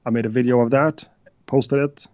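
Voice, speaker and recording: Brief: Swedish-accented man, non-native English speaker, halting guest talk, dull dry call audio. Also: mid-range voice, steady tone, darkish tone, no room sound. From Sweden. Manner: hesitant, many uhs and restarts. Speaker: guest beatmaker. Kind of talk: casual interview chat.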